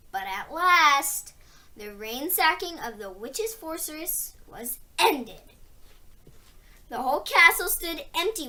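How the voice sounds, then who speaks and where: A woman reads a children's story aloud with dramatic emphasis. Her voice is very high and bright, and she takes her time over the phrases. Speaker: storybook reader. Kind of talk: expressive read-aloud of a children's novel.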